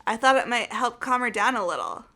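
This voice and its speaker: A woman's voice: a little high, very animated, a little fast, gravelly, pushed and bright, heavily acted. A woman playing a perky, candid teenage girl in a voiced video game, with music underneath. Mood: candid, upbeat. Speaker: player voicing a game character. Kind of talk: voiced let's play, reading game script.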